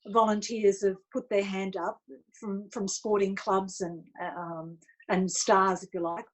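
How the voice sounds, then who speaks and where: A woman's voice: medium pitch, poor audio. A woman journalist who asks probing interview questions and often rephrases them. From Australia.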